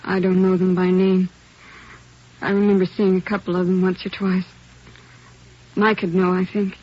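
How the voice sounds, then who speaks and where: A woman playing a bereaved working-class sister of thirty-one in a 1950s radio drama, speaking in short, halting, plain phrases. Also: fairly low pitch, completely flat delivery, lightly acted. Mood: subdued. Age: 30s. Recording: dull, fuzzy vintage radio recording.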